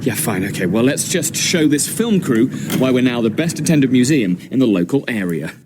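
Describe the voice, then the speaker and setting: A man's voice: mid-range voice, very animated, normal speed, background noise, lightly acted. An actor playing an eager, patient but slightly exasperated man in a radio sitcom, hesitant and placating. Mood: flustered. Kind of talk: naturalistic radio sitcom acting.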